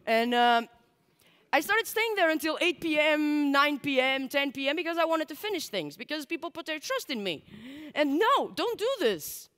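A woman's voice: high voice, very animated, moderate pace, fairly bright tone. A woman in her twenties, a native Portuguese speaker, giving a candid, chatty conference talk on a large stage through a headset mic. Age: 20s. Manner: candid and chatty, full of likes and asides. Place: large dark stage with audience. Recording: long hall reverb.

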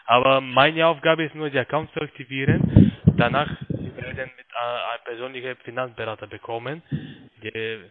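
A man working as a call centre account agent, speaking politely and haltingly on a sales call. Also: medium pitch, fairly even intonation, background noise. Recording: tinny phone line.

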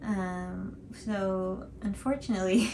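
A woman's voice: mid-range voice, steady tone, takes it slowly. A woman, chatting casually to camera in a hesitant, self-deprecating way. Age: 20s. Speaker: cosplay channel host. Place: small room indoors on a couch. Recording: clearly audible background noise.

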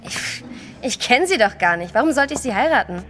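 Young waitress for audio drama. Brisk, guarded, sceptical, slightly high-pitched. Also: female voice, animated, fairly bright tone, slight background noise. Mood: indignant, sceptical. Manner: curt, sceptical. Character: brisk, wary young waitress, quick to rebuff. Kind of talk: German audio drama acting.

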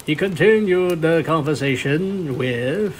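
A man's voice: on the high side, animated, takes it slowly, slight background noise. A man narrating a scripted story as a fan fiction reader.

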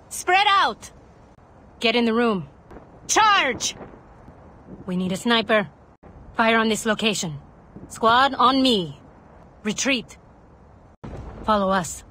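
A tough young female soldier from a shooter game. She speaks in clipped, commanding callouts at a medium pitch, brisk and determined. Ambient noise sits behind the voice. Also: bright, forceful tone, heavily acted. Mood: shouting, urgent. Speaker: playable operator in a mobile shooter. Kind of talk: video game combat voice lines.

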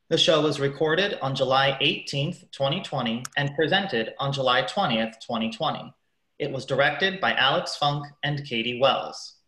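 A man narrating a scripted radio play in a measured, announcing manner. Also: medium pitch, sharp tone. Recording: echoey room.

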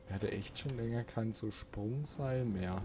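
A man's voice: lowish voice, a little slow, over music. A man giving casual let's play commentary, thinking aloud and muttering questions to himself.

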